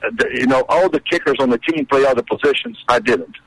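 A man in his sixties from Brazil with a Brazilian Portuguese accent, a guest recalling his playing days in a casual interview, halting with many repeats and restarts. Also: mid-range voice, crowd noise, poor audio. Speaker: guest, former college kicker.

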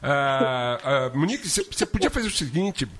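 A man's voice: medium pitch, very animated, moderate pace, fairly bright tone. A man hosting a radio show in casual chat, playful and teasing, joking around with curses.

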